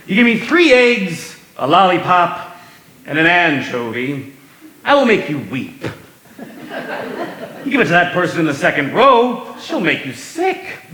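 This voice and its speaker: A man's voice: on the high side, a bit bright, exaggerated voice. A man playing a showy musical emcee. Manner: theatrical, playful, sings and speaks to the audience. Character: showy male food-show emcee narrating the evening. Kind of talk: staged musical reading, spoken and sung. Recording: live stage recording in an echoey theater with music.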